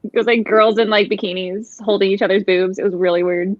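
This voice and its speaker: A woman's voice: medium pitch, fairly even intonation, fairly quick, dry recording. A woman co-hosting a casual chat, telling stories in a frank, informal way with quoted asides, over dull call audio. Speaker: co-host of a book readalong livestream.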